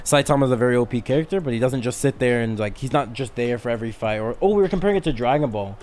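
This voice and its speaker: A man's voice: medium pitch, lively intonation, rapid-fire, a little mellow, slight background noise. A man in his twenties chatting casually as a podcast co-host, rambling through explanations in slangy language. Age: 20s. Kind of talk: casual chat about anime and manga.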